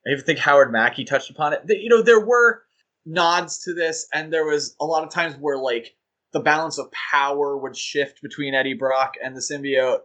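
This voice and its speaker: Man, podcast co-host, bright higher voice, rambling casual chat, enthusiastic. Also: normal speed, poor audio. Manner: enthusiastic, rambling with fillers and laughs.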